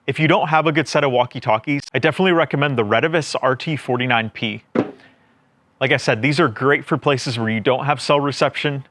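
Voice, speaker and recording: A man's voice: mid-range voice, fairly bright tone, slight background noise. A man giving a casual, plain-spoken product review, explaining things step by step as a reviewer. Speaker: gear reviewer presenting a product.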